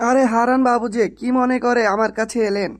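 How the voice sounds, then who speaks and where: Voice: mid-range voice, fairly even intonation, brisk, dry recording, clean studio sound, close to an everyday voice. A performer voicing a stern, bossy male village headman, authoritative and threatening, in a comic Bengali drama style.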